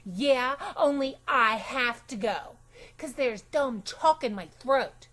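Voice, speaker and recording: Woman playing a bossy little girl, high voice, swooping intonation, childish dramatic stresses, storybook read-aloud. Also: expressive, takes it slowly, a bit bright, exaggerated voice. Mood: chatty, scheming. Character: chatty, imaginative, bossy little girl telling her own story.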